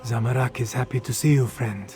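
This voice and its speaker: A man's voice: mid-range voice, fairly quick, music in the background, natural voice. A man voicing a humble, loyal cat-folk warrior in a fantasy game, speaking formally in the third person. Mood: earnest, steady.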